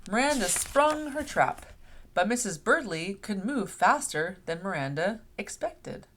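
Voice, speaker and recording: Animated woman reading a children's story. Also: medium pitch, unhurried. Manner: expressive storytelling addressed to young children. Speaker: read-aloud host. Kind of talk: children's picture book read-aloud. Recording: clear background noise.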